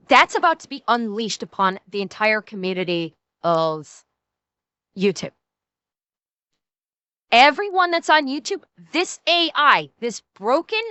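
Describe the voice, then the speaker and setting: A woman's voice: high-pitched, sharp tone, clear, resonant tone, no echo. A young woman streaming live, talking indignantly to her chat and swearing as she answers viewers.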